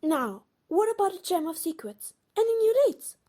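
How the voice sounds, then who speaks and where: A woman playing a studious, brisk and bossy teenage witch girl in an audio drama. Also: very high-pitched, big pitch swings, warm tone. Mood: concerned.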